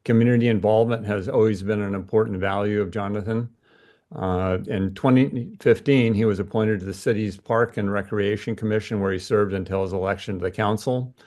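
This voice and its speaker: A man with a fairly low voice acts as the interviewer. He delivers prepared introductions and questions in a formal, measured manner. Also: fairly even intonation, darkish tone.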